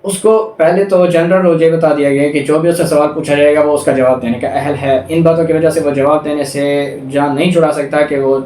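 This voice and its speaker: Pakistani guy teaching law, bit high voice, explains stuff over and over, echoey and a bit far from the mic. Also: fairly even intonation, fairly quick, hardly any pauses, a little mellow. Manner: didactic, repeats and rephrases points. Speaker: law lecturer. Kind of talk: explanatory law lecture, reading statutes aloud then explaining. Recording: roomy echo, distant mic, faint noise.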